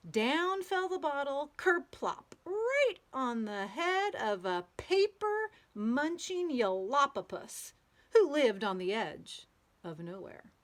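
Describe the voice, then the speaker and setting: Slow, animated woman reading a picture book aloud. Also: high-pitched. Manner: expressive, drawn-out storytelling with playful sound words. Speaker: storybook read-aloud reader.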